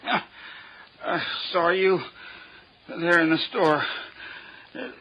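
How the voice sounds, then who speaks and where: A dying young man who speaks in high, bright, breathy fragments, drawn out very slowly as he gasps his confession. His pitch swings widely as he falters. It is an old radio drama with a muffled, hissy sound. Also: exaggerated voice. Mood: gasping confession. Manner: halting broken phrases. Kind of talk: 1950s radio drama acting.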